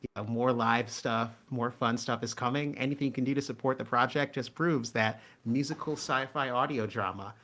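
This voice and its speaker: A man's voice: medium pitch, normal speed, fairly bright tone. A man chatting casually and earnestly about his own creative work, in a wordy way. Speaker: show creator and guest. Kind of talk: casual chat.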